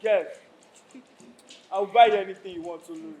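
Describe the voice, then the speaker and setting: A man's voice: high-pitched, steady tone, moderate pace, darkish tone, close to an everyday voice. A man with a Nigerian accent acting a proud, troubled Yoruba king in a declamatory stage style. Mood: proud, generous. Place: stage of a live play. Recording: noisy live stage recording.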